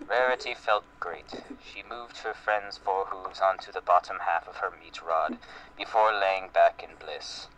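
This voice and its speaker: A man's voice: low voice, fairly even intonation, measured pace, a bit bright. A man reading fan fiction aloud as part of an amateur group dramatic reading. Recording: faintly noisy home voice-chat recording.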